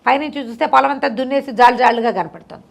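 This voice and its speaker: A woman's voice: high voice, quick pace, creaky. A woman telling folk tales conversationally, in a chatty way, explaining with repeated lists of detail. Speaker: storyteller. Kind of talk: conversational bedtime folk storytelling.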